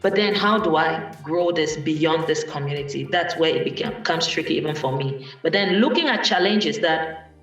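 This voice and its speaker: A speaker from Cameroon, telling a personal story at a panel event in a thoughtful way with many pauses and restarts. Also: medium pitch, a bit bright. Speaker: guest speaker, musician. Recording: echoey room from far off, faint music.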